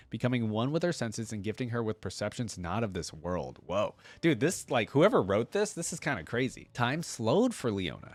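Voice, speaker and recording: A man's voice: mid-range voice, dry recording. A man hosting a reaction video, reading a story aloud and commenting in a casual, slangy way.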